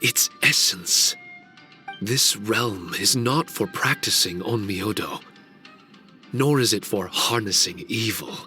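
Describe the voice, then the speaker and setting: A solemn ancient guardian spirit. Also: male voice, on the low side, expressive, sharp tone, faint music behind, heavily acted. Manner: formal, measured and grave. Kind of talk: video game cutscene dialogue.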